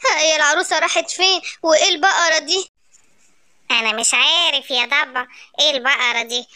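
Cartoon villain: woman as mocking, conspiratorial Egyptian male animal, high register, stretched-out sly phrases. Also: steady tone, fast, bright, forceful tone. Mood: puzzled, mocking. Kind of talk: children's animal story acting.

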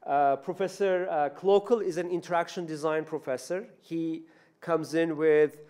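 A man's voice: on the high side, fairly even intonation, a little slow, a little mellow, clean tone. A man in his fifties with a Turkish accent, giving a public talk at a podium mic in a university lecture room, speaking conversationally with many fillers and restarts. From Turkey. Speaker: robotics professor giving a talk. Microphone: podium microphone.

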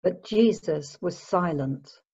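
A woman narrates a scripture story from a script. Her voice is on the low side and she reads very slowly. The sound is slightly muffled and lo-fi. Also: a little mellow, slight background noise. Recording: muffled lo-fi home recording.

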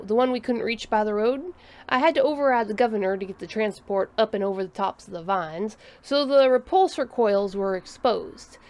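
A tired male explorer in a storybook tale, as a woman acts him out. He is casual, folksy and stubbornly defensive. The voice is high and animated.

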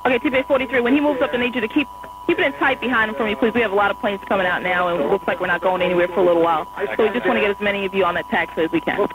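A woman air traffic controller in an airport tower, giving quick, polite instructions to pilots over the radio. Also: mid-range voice, steady tone, over music. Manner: brisk, polite and apologetic. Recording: noisy radio transmission with hum.

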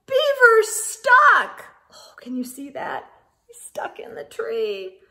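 A middle-aged woman reading a picture book aloud to young children, expressively, with dramatic pauses and exclaimed asides. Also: a little high, animated, gravelly, a little mellow. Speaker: children's storytime host. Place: small indoor room with bookshelves.

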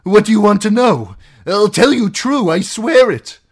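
A man voicing a cowardly captive lordling in an audiobook, shrill and frantic, stammering excuses and pleas. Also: expressive, takes it slowly, fairly bright tone, exaggerated voice. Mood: anxious, eager. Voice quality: shrill, squealing. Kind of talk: audiobook character acting.

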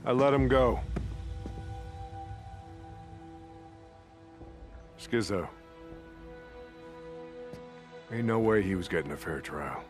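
An actor playing a brash, sarcastic but tender-hearted American biker in a video game, with a gravelly voice. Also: male voice, on the low side, big pitch swings, brisk, over music. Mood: grave, angry. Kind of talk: naturalistic video game acting.

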